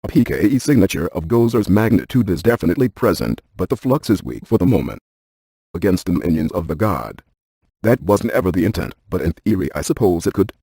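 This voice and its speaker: A man voicing a brainy, unflappable ghost-hunting scientist in a video game, with precise, clinical, deadpan delivery. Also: low voice, fairly quick, gravelly, breathy edge, dry recording, full character voice. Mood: clinical, matter-of-fact. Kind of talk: scripted game voice lines.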